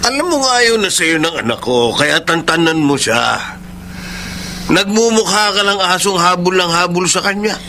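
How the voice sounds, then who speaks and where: Gravelly, stern older Filipino father. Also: high-pitched, animated, moderate pace, pushed and bright, a little hiss or hum, exaggerated voice. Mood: cold, hostile. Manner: gruff, blunt. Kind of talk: Filipino radio drama acting.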